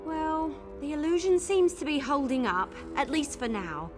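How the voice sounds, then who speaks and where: An actress voicing a spirited, chatty and mischievous young female scout in a video game, with an expressive delivery. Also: sits high, moderate pace. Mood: teasing, concerned. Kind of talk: video game character acting. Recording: game dialogue over background music.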